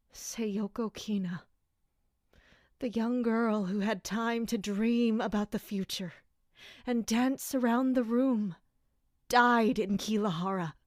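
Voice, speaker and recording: A woman's voice: a little high, no room sound, studio quality. A woman playing an anguished, dutiful and bitter royal captain in an audio drama, brooding and emotionally intense. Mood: grieving.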